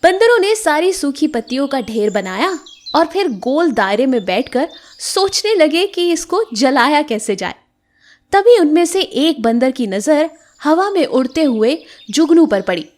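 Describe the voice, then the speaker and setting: A woman storyteller reading scripted children's moral tales in an expressive way. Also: sits high, unhurried.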